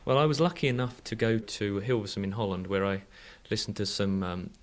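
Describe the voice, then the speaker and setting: A man answers interview questions as an engineer. His pitch is a little low, and he chooses his words carefully, with some hesitation. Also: steady tone, a little fast. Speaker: engineer guest.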